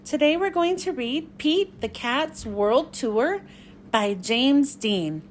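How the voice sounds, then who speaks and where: A woman host reading a storybook aloud for children in an expressive way. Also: high-pitched, slow pace, a little hiss or hum. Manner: expressive, clearly addressed to young children.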